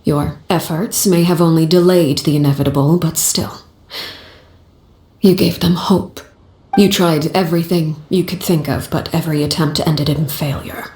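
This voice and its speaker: Arlecchino, a woman voicing a cold, low-voiced leader. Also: echoey room, lightly acted. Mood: solemn. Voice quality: calm, measured, blunt. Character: cold, composed woman leader, stern guardian. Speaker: head of an orphanage house, the children's father. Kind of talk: video game cutscene voice acting.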